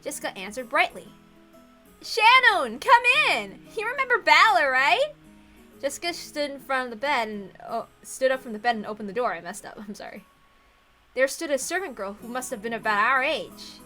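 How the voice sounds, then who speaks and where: High, bright-voiced woman reading aloud. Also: animated, brisk, soft background music. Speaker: reader of a visual novel. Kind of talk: expressive read-aloud with asides.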